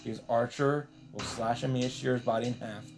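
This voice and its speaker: A man's voice: mid-range voice, fairly even intonation, fairly quick. A man doing a dramatic read-aloud as host of a visual novel playthrough. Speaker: let's play host reading aloud. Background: faint game music.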